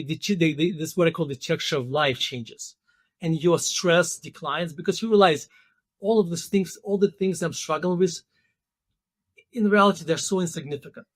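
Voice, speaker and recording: Man, 50s, interview guest, higher voice, hesitant, thoughtful, dry sound. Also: dry recording. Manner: thoughtful, hesitant, many fillers and restarts. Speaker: podcast guest. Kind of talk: interview conversation.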